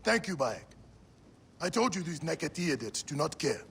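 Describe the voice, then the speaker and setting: A gravelly, animated village priest. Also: male voice, on the high side, moderate pace, a little hiss or hum, exaggerated voice. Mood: weary. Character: weary, frank village priest, protective friend and mentor. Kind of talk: dramatic video game acting.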